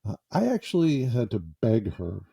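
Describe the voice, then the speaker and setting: A man's voice: medium pitch, wildly swooping pitch, normal speed, gravelly, dark tone, slightly rough. A man hosting a podcast, joking about himself in a loose, improvised monologue from a small foam-padded closet. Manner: self-deprecating and jokey. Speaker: podcast host.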